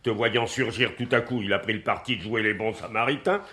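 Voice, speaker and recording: Casting: a doubting, grouchy police inspector for a radio crime drama, fairly low voice, quick to brush arguments aside. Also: male voice, bright, forceful tone. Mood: sceptical, dry. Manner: dismissive, argumentative. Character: sceptical, stubborn, grumbling male police inspector. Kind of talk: French radio mystery play acting.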